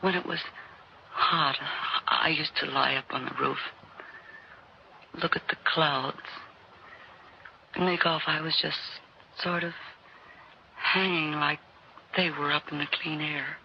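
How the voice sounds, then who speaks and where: An actor playing a jaded, desperate former showman in a 1960s radio drama, with halting, stammering speech that often repeats itself. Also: on the high side, fairly even intonation, creaky. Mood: nervous, wistful. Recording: dull, hissy old radio recording.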